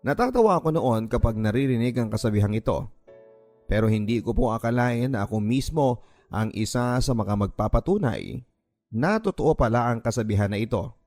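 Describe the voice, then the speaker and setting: Filipino guy host reading story letters radio style, medium pitch, bit of music behind him. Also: lively intonation, fairly quick, a little mellow. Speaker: host and narrator of a story show. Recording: background music under the voice.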